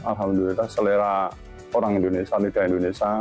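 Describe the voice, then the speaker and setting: A man's voice: low voice, little pitch movement, fast talker, a little mellow, soft background music. A man giving short, unscripted answers as an interviewee.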